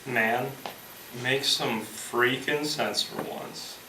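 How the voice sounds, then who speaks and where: Stage play role: stubborn, sarcastic young male slacker, acted by a man, mid-pitched, roomy noisy recording. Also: steady tone, extremely slow. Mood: irritated. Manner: sarcastic, blunt slangy talk. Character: irritable, stubborn, plain-spoken young slacker guy. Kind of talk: amateur stage play acting. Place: indoor stage, recorded at a distance. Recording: echoey distant stage recording, clear noise.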